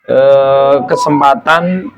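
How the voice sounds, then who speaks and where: A man in his thirties, a children's storyteller, chatting as a guest and telling his story warmly, full of fillers and addressing listeners as friends. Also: mid-range voice, very slow. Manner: speaks to the audience as "friends". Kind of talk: casual interview chat.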